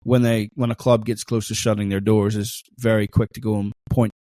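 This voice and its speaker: A man's voice: lowish voice, flat delivery, fairly quick, dark tone, no room sound, clean studio sound. A man with a thick accent co-hosting a casual podcast, chatty and teasing, laughing often. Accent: thick non-Australian accent. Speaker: podcast co-host.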